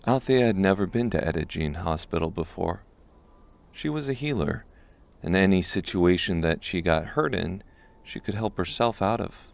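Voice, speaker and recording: Deep, gravelly male audiobook narrator. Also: animated, normal speed.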